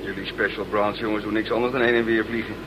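Male actor, police inspector, quick speech, dry, self-deprecating, radio play, noisy background. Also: lowish voice, level tone, dull audio, lightly acted. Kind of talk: radio drama acting. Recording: clear background noise, old radio play.

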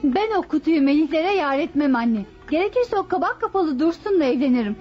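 A dutiful yet calculating young daughter in a radio play. Her voice is high and animated, with music faintly behind a dull recording. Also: brisk. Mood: resolute, calculating. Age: young adult. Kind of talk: radio theatre acting.

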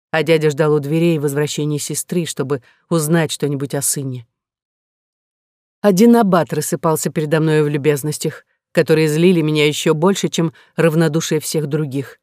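A woman's voice: deep voice, dark tone, no room sound, clean studio sound. A woman reading a classic novel aloud as a scripted first-person narration. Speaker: audiobook narrator.

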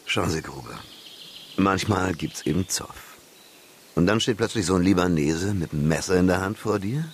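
An actor playing a slick, self-assured red-light boss in a German radio drama, jovial and expansive with menace underneath. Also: male voice, low-pitched, normal speed, a little hiss or hum, close to an everyday voice. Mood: evasive, smug.

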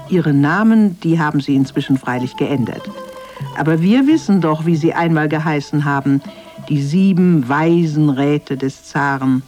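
A man's voice: a little high, a little mellow. Male children's storyteller over music. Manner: storyteller addressing young listeners directly. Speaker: narrator. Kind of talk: scripted storybook narration for children.

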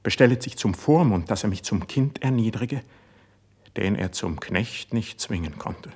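An actor playing an impassioned, suspicious young court poet in a classical verse radio drama, with a husky, declamatory delivery. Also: male voice, lowish voice, expressive, measured pace, a little mellow, exaggerated voice. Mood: bitter, suspicious. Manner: declamatory, intense stage delivery.

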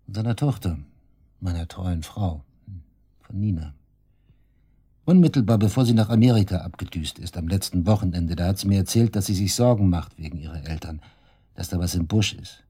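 Casting: cocky shady suspect, dark low husky voice, taunting and coarse, radio play. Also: male voice, moderate pace. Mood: confiding, matter-of-fact. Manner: taunting, cynical, coarse colloquial talk. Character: cocky, sneering male murder suspect, shady club worker. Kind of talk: German radio drama acting, interrogation dialogue.

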